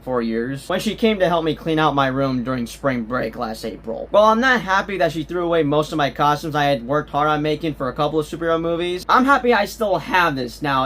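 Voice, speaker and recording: A man's voice: a little high, fairly bright tone. A young man giving a casual, enthusiastic movie review, rambling and swearing now and then. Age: young adult.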